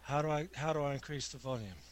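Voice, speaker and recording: A soft-voiced male guest in a casual chat who is wry and self-mocking. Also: mid-range voice, fast.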